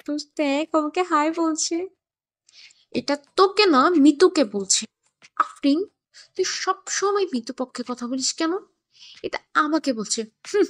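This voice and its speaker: A woman's voice: sits high, expressive, a little slow, pauses often. A woman playing a chatty, teasing young college girl in an audio story, in a girlish, gossipy way. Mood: bickering. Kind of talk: scripted audio story dialogue.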